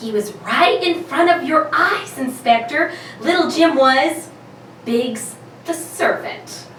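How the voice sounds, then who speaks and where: A woman playing an excitable, quick-witted young female sleuth in an amateur radio mystery reading. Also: sits high, exaggerated voice. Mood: insistent. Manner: excitable, insistent. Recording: echoey room, distant mic, faint noise.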